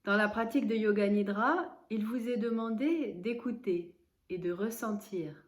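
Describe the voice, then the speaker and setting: A woman leading a guided relaxation as a yoga nidra instructor, giving calm, measured instructions with pauses. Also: mid-range voice.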